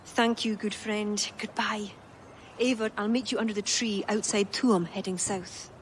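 A woman's voice: mid-range voice, steady tone, a little slow, slight background noise, lightly acted. An actress playing an earnest, worried young woman in a sombre video game drama. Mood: hopeful, earnest. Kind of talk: video game cutscene acting.

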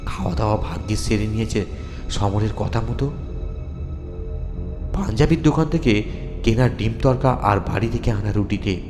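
A man narrating a ghost story in a theatrical, deliberate way, with dramatic pauses. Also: fairly low pitch, mellow. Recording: long reverb with music underneath. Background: music.

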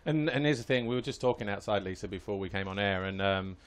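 A middle-aged man hosting a live motorsport studio show, relaxed and jokey, with plenty of fillers, speaking into a headset mic in a small TV studio. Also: lowish voice, fast.

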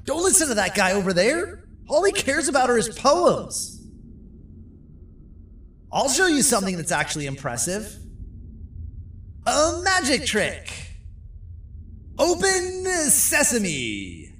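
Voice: mid-range voice, huge pitch swings, slow pace, pauses often, a bit bright, heavily acted. An actor playing a showy, boastful jester monster in a video game, with a theatrical showman's manner. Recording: distant, faint music behind.

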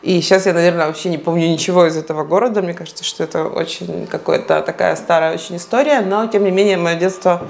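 A reflective woman in her forties from eastern Ukraine speaks slowly and thoughtfully, searching for words. Her voice sits slightly low. She is recorded indoors on a clip-on lapel mic in an apartment. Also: steady tone, creaky, a little mellow. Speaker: interviewee, psychologist. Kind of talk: reflective documentary interview. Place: apartment living room, indoors.

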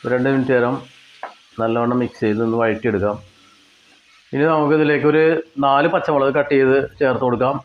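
A man with a Kerala Malayali accent giving step-by-step cooking instructions in a plain way, with pauses and repetitions. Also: medium pitch, fairly even intonation, narrow, tinny audio. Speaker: home cook presenter. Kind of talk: step-by-step recipe narration.

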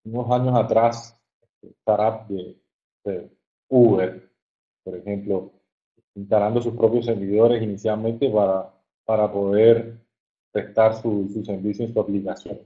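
A man with a fairly low voice and a coastal Colombian accent gives an informal online presentation. He speaks haltingly, often repeating words as he looks for the next phrase. The audio is muffled and narrow, like a phone call. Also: fairly even intonation. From Caribbean coast of Colombia. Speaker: webinar presenter, software engineer. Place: online webinar.

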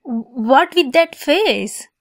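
A woman's voice: high-pitched, animated, drawn-out words, exaggerated voice. A woman playing a lovesick, possessive young kitsune fox girl in an ASMR roleplay, sweet, coy and giggly in manner. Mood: coy, nervous.

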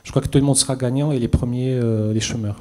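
A man's voice: mid-range voice, fairly even intonation, warm tone. A man in his thirties, a policy expert on a conference panel, giving a prepared statement with many fillers and restarts into a handheld mic. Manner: measured, hesitant with many fillers. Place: conference stage with audience.

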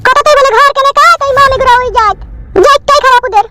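A woman's voice: extremely high pitch, fast talker, bright, forceful tone, no room sound. A woman voicing a scolding, exasperated village wife in a comedy cartoon, nagging in crude rural slang. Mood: bitter complaining.

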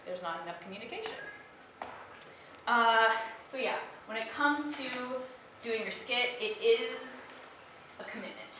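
A young woman presents a panel in a lecture hall, chatty and informal, leaning on "you know" and "like". Her voice is bright and forward. It rings through a very echoey room, caught from some distance away over a faint hum of ambience. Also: mid-range voice. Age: young adult. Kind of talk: presenting a how-to panel talk. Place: lecture hall at a fan convention. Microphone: headset mic.

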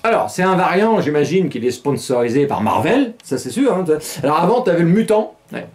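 A man's voice: a little high, brisk. A middle-aged man hosting a satirical news show in a small studio, talking casually and mockingly, laughing often between jokes. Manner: sarcastic, laughs often, animated gestures. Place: small studio with green-screen news set.

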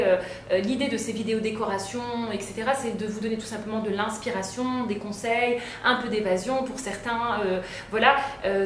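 A woman in her thirties, a home decor vlogger chatting casually to camera, with many fillers and restarts. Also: a little high. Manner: lively hand gestures, many fillers and restarts. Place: bright living room, indoors. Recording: roomy echo, faint background noise.

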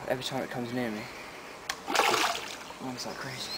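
A man's voice: medium pitch, normal speed. A young man casually explaining his fishing setup as he goes, filmed outdoors by a pond on a handheld camera. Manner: relaxed, chatty, explains as he goes. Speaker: angler vlogger. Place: outdoors by a pond among trees. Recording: loud outdoor noise.

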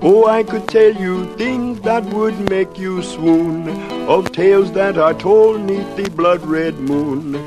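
An actor playing a genial, polite calypso singer with a formal, lilting delivery in an old radio drama. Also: male voice, sits high, fairly even intonation, takes it slowly, poor audio. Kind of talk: 1950s radio acting, rhymed singing narration. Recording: old radio broadcast with loud music.

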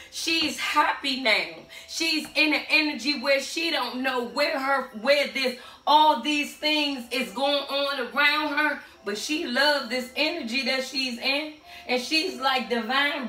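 A middle-aged woman with a hoarse voice giving a tarot reading to camera in a small room at home, sassy and sing-song, calling out to the spirits. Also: high-pitched, sharp tone. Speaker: tarot reader. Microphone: camera standing a few steps away. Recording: echoey room, far from the mic, faint music.

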